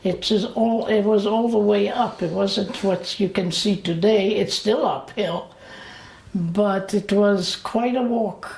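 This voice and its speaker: Slow, gravelly woman in her late eighties. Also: medium pitch, darkish tone. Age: late 80s. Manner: halting, with frequent fillers and pauses. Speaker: interviewee. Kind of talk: slow, reflective memories. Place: indoors. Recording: roomy echo.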